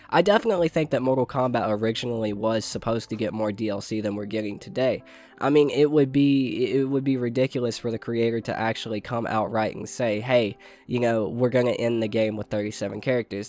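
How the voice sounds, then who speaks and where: A young man with a nasal voice, stuffed up from a cold. He talks casually like a gaming news host, rambling, swearing and restarting his sentences. His pitch sits in the middle of the range. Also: a little mellow. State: sick with a stuffy nose. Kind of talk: casual commentary.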